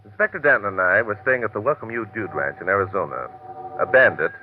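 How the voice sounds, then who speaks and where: A man's voice: on the low side, big pitch swings, fast, noisy background. An actor playing a composed detective who narrates his own case in old-time radio mystery style. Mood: scene-setting. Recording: tinny, narrow old radio recording. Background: music.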